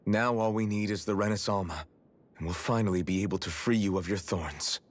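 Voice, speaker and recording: A man's voice: fairly low pitch, a little fast, natural voice. A man playing an earnest, resolute young hero in a fantasy video game cutscene.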